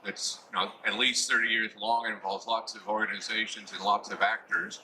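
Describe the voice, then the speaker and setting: A man chairing a conference session, speaking in a measured way with some filler hesitations as he introduces the next speaker. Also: on the low side, flat delivery, pushed and bright, slight background noise, low-quality recording.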